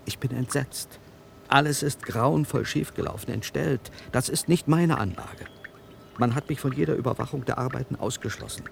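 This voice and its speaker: An actor playing a troubled, earnest French inventor in a German radio play, with a gravelly voice. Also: male voice, medium pitch, normal speed, a little hiss or hum. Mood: shaken, defensive.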